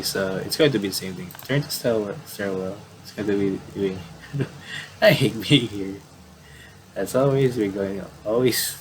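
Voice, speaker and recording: A man doing casual game commentary, reading on-screen dialogue aloud and adding halting asides. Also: sits low, a little hiss or hum, low-quality recording. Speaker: gamer doing playthrough commentary.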